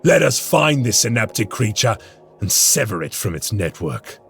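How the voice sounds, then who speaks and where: Grim male warrior commander for a game. Clipped, grave, creaky low voice, animated intonation. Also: moderate pace, soft background music. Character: grim commanding male armored warrior, curt and battle-hardened. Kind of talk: dramatic video game acting.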